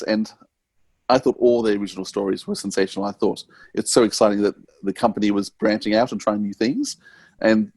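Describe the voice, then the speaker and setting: A man hosting a podcast interview, talking earnestly and haltingly as he searches for words. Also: on the low side, a little mellow, no echo. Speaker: podcast host.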